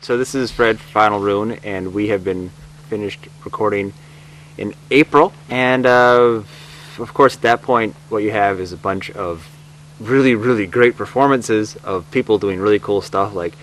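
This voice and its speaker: A man's voice: lowish voice, moderate pace, fairly bright tone, rough recording. A man speaking as a director in an informal, reflective documentary interview. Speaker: audio drama director. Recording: faint background hum.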